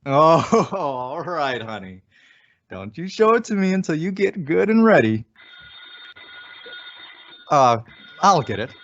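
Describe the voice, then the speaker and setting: Fussing, excitable radio husband played by a man, widely swinging intonation, dull lo-fi vintage sound. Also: a little high, takes it slowly, pauses often, full character voice. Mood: tender, teasing. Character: doting husband, excitable, eager and fussing father-to-be. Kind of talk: 1940s radio sitcom acting. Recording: muffled old-time radio style recording.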